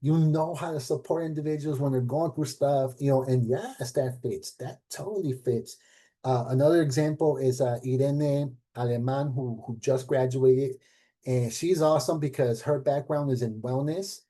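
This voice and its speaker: A middle-aged man talks loosely to a room as a program director. His voice is warm and mellow, and he leans on fillers and rhetorical "right?" questions. He lights up when he quotes others. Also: medium pitch, moderate pace. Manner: animated when quoting others. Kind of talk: casual talk at an event panel.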